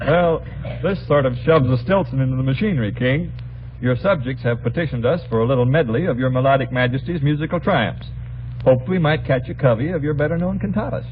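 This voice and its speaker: A man's voice: medium pitch, a little fast. A man hosting an old-time radio variety show with scripted banter and grandiose, mock-courtly phrasing. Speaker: radio show host and announcer. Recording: muffled old radio recording, faint noise.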